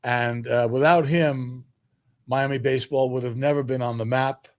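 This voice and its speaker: An older man from New York reminiscing as an interview guest, speaking in a measured way with emphatic phrasing. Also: medium pitch, steady tone, no echo. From New York, United States. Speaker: guest, sports public address announcer.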